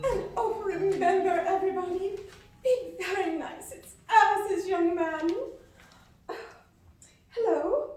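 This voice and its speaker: A woman performs a gracious, fussing mother in a live radio play. Her voice is extremely high and fluttery, picked up from a distance in a heavily echoing room. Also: slow, a little mellow. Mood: excited, fussing. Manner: fluttery, gushing. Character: fluttery, gracious middle-aged mother, eager to please. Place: live stage performance in a large echoey room.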